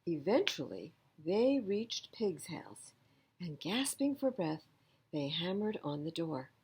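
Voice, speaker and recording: A woman storyteller reads a picture book for children. Her voice is low, mellow and slightly husky, with expressive, lively intonation. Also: takes it slowly, rough recording.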